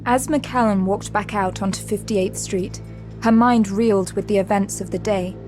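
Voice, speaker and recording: Woman narrating drama, medium pitch. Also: steady tone, moderate pace, a little mellow, faint music behind.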